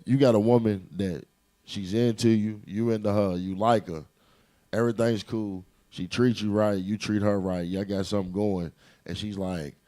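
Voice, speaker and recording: Man, 30s, lowish voice, frank and easygoing talk-show regular. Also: steady tone, moderate pace, dark tone. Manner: frank, laid-back, keeps asking "you see what I'm saying". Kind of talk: casual group chat.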